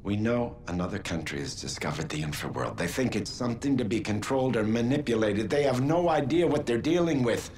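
An actor playing an earnest middle-aged scientist in a dramatic video game, speaking with grave, pleading persuasion. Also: male voice, medium pitch, animated, a little fast, few pauses, darkish tone, a little hiss or hum, close to an everyday voice. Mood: grave, persuasive.

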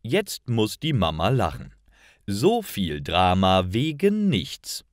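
A man reading stories for language learners in a careful, clearly articulated and expressive way. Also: lowish voice, slow pace, dry recording, clean studio sound. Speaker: narrator of stories for German learners.

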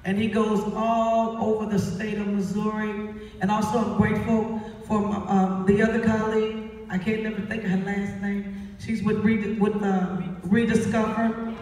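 A woman's voice: mid-range voice, flat delivery, normal speed, a little mellow. Woman addressing an echoey, distant hall. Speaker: stage play director and host. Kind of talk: live stage speech introducing and thanking a cast. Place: theater stage, indoors, filmed from the audience. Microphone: handheld microphone through PA, picked up by distant camera. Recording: echoey hall from far off, crowd and music.

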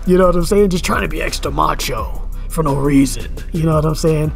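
A man's voice: fairly high pitch, fairly quick, creaky, faint music behind. A man in his thirties giving a casual fitness commentary rant at home, repeating himself and asking "you know what I'm saying" again and again. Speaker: fitness vlogger. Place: indoors at home, in front of a camera.

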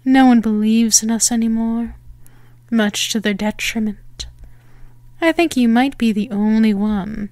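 A woman voicing a possessive, menacing female vampire in an ASMR roleplay, teasing and theatrical in her deliberate phrasing. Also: on the high side, steady tone, darkish tone, no room sound. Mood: amused, condescending.

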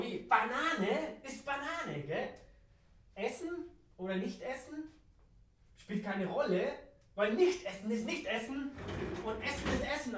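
Female dada monologuist, southern German or Austrian accent, fairly high pitch, rambling and repetitive, roomy distant sound. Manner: repetitive, playful, rambling wordplay. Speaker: radio play performer. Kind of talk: improvised absurdist spoken-word monologue. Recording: roomy echo, distant microphone.

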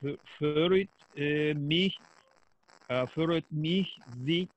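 A man's voice: on the high side, extremely slow, lo-fi sound. A man with a Turkish accent, a language student hesitantly practising a dialogue word by word. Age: 40s. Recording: noisy online call with clicks.

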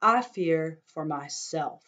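A woman's voice: low-pitched, expressive, slow pace, bright, forceful tone, full character voice. A woman reading aloud, giving a fearful older man his lines in a grave, worried, lecturing way. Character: fearful older male townsman.

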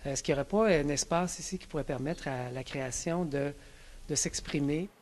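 Québécois man, somewhat high voice. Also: brisk, a little mellow. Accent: Québécois French. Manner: thoughtful, searching for words. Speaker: local resident. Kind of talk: vox pop street interview. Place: indoors, church hall before a drawn mural. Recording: music bed underneath.

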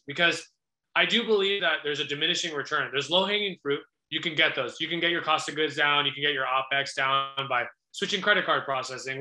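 American man, thirties, interview guest, slightly high forceful voice, roomy video-call sound. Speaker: guest restaurateur. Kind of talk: conversational Q&A answers. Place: small indoor office. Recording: echoey room on video call.

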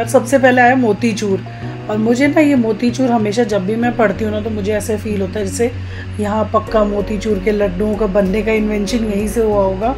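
A woman in her thirties from Uttarakhand, India, narrating a casual vlog in a chatty way, talking directly to viewers. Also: fairly high pitch. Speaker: travel vlogger.

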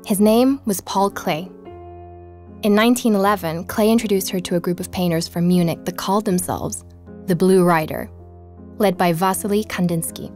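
A woman playing a young journalist who narrates her own podcast about a vanished art student, earnest and intrigued, recording in a bedroom with soft music underneath. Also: on the low side, natural voice. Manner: earnest, intrigued, speaking to listeners. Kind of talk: scripted audio drama narration. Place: home bedroom.

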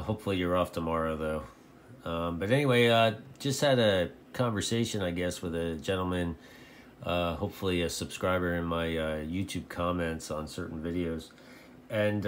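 A man vlogging casually about his hobby in a folksy, halting, repetitive way. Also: low-pitched. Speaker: video host, radio hobbyist. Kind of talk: casual rambling vlog talk. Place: indoor home radio shack. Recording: clearly noisy home recording.

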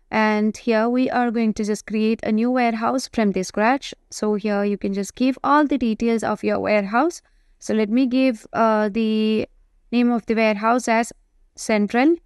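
High-pitched woman narrating a software tutorial. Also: clean tone, no room sound, studio quality. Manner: explanatory, halting delivery with frequent hesitations. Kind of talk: screen-recorded tutorial narration, semi-improvised.

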